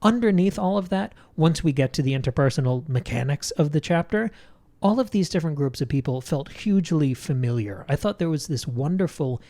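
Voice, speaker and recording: Higher-pitched, mellow man analysing books. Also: lively intonation, fairly quick. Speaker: book club podcast co-host. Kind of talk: literary discussion, analytical chat.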